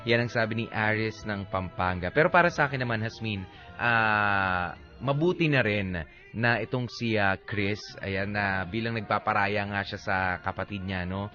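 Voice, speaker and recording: A man's voice: lowish voice, normal speed, bright, forceful tone. A man with a Filipino accent co-hosting a radio show in casual, joking banter. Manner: jokey, conversational. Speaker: radio DJ and co-host. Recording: slightly dull audio, music bed.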